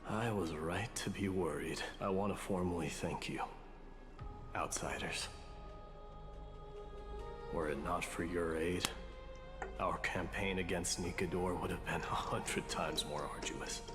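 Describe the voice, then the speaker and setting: A man's voice: low voice, fairly even intonation, unhurried, long pauses. A man voicing a stern, proud but courteous warrior lord in a video game, speaking in a grave, formal manner. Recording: reverberant game audio under music.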